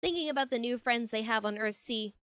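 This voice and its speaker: A woman's voice: fairly high pitch, brisk, fairly bright tone, no room sound, lightly acted. A woman playing an anxious, lonely teenage goddess of space in an amateur audio drama, earnest, rambling and conversational. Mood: despairing. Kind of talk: amateur fan-fiction audio drama acting.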